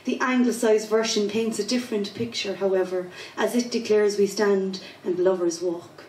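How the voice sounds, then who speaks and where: A woman's voice: medium pitch, fairly even intonation, normal speed, darkish tone, a little hiss or hum. A woman in her thirties with a Cork Irish accent, chatting casually and then reciting her poems in a rhythmic, self-deprecating way on a pub stage. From Ireland. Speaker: spoken word poet. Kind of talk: casual interview and poetry performance. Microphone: handheld mic, far camera. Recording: echoey pub from far off.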